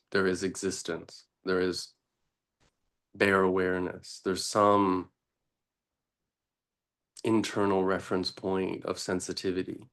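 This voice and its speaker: Very slow, low-voiced man guiding meditation. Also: fairly bright tone. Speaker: meditation teacher. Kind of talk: unscripted guided meditation.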